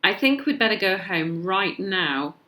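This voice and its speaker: A woman's voice: medium pitch, expressive, measured pace, sharp tone, pitched above the performer's own voice. Woman acting exasperated British mother, emphatic, protesting, storybook character.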